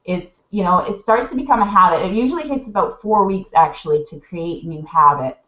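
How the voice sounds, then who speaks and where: A woman's voice: fairly low pitch, fairly quick. A woman mentor, sniffly from crying, gushing and rambling with lots of fillers while giving casual advice on a live video call. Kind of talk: heartfelt casual advice chat. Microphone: webcam on a video call. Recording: roomy, dull webcam audio.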